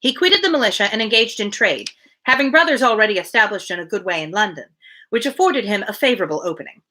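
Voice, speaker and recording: Bright-voiced woman reading aloud, lively intonation. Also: medium pitch, fast talker. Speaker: reader hosting a daily live book reading. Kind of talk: reading a classic novel aloud, with casual asides.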